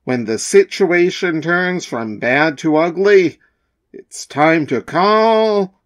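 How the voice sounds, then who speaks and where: A man's voice: on the high side, lively intonation, slow pace. A man playing a solemn, dramatic comic-book narrator with a grave, portentous manner.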